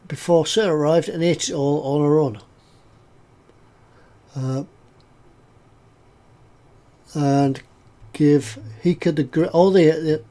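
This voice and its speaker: A man's voice: a little high, warm tone. A man with a head cold, sounding snuffly as he chats casually on a live stream, reading out viewers' comments as he builds a scale model. He rambles in a friendly way, with lots of "uh"s and repeated words. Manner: rambling and chatty, with frequent "uh"s and self-repeats.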